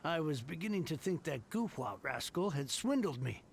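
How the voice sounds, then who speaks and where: An actor playing a grateful, weary middle-aged merchant heir in a game, earnest and grumbling. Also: male voice, on the high side, sing-song, normal speed, creaky, a little hiss or hum.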